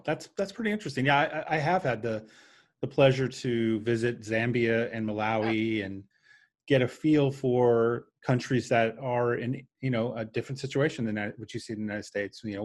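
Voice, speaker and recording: A man hosting an interview, asking thoughtful, somewhat halting questions. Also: fairly low pitch. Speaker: podcast host.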